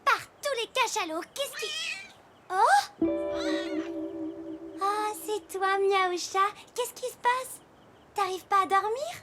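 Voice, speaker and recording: A performer voicing an excitable, adventurous little pirate girl captain in a children's cartoon, exclamatory, bossy and playful. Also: very high-pitched, slow, long pauses, full character voice. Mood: puzzled. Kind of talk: children's animated cartoon acting. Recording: loud cartoon music behind the voice.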